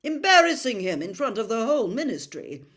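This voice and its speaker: Pompous, indignant young man for a storybook, played by a woman, raised pitch, loud and forceful delivery. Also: sing-song, fairly quick. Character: pompous, indignant young man, rule-loving older brother. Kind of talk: acted storybook reading.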